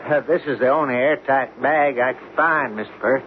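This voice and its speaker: An actor playing an uneasy, deferential oil rig worker in a radio drama, hesitant and earnest. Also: male voice, mid-range voice, normal speed, natural voice. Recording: muffled, faintly noisy old radio broadcast.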